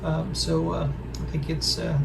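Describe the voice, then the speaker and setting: Hoarse man, raspy and gravelly, halting delivery, noisy recording. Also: fairly high pitch, little pitch movement. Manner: halting, hesitant, full of pauses. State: hoarse from a throat injury. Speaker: record collector vlogger. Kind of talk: casual record haul show-and-tell.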